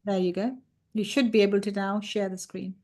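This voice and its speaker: Brisk woman moderating a webinar. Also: mid-range voice, steady tone, a little mellow. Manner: brisk, helpful instructions. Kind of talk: moderating a video call. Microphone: video call.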